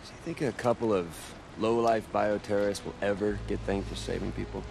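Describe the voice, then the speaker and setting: A man's voice: on the low side, fairly even intonation, brisk, gravelly, noisy background. A young man with an American accent acting a cocky, sarcastic, wisecracking superpowered rebel in a video game. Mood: teasing. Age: young adult. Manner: flippant, sarcastic.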